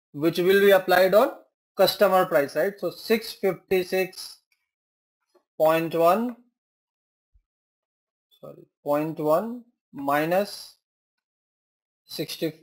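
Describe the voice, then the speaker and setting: A man teaching a software tutorial, working through examples step by step with rhetorical questions, fillers and self-corrections. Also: sits high, low-quality recording. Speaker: software trainer. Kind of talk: screen-share tutorial walkthrough.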